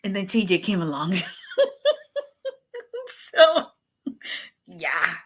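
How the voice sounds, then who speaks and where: A woman's voice: fairly low pitch, very animated, extremely slow, slightly rough. A woman from Nigeria, an immigration attorney chatting casually on a livestream, greeting viewers by name and giving encouragement like a preacher.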